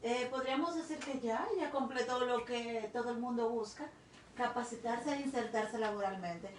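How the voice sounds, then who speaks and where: A woman's voice: fairly high pitch, moderate pace, a little hiss or hum. A woman hosting an interview, recapping the guest's story and steering it forward. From Dominican Republic. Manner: summarizing, guiding the story forward. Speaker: interview host. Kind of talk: conversational interviewing. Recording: echoey room, distant mic.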